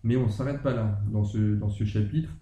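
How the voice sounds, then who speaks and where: A man's voice: on the low side, moderate pace, dark tone, roomy sound. A man co-hosting a podcast, talking casually and hesitantly, with many fillers and restarts. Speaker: podcast co-host discussing a roleplaying campaign.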